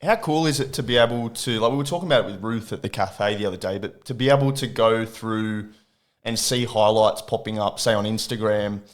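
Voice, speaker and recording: A man's voice: fairly low pitch, a little fast. A man hosting a podcast who asks long, rambling questions full of fillers. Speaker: podcast host. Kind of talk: casual podcast interview.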